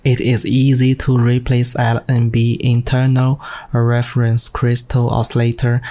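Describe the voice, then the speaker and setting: A man with a Chinese accent, a native Chinese speaker, presents technical material from a script. His voice is dark and mellow, with very little rise and fall. He takes it slowly and reads in a stiff, careful manner. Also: mid-range voice, monotone. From China. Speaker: amateur radio operator giving a technical presentation.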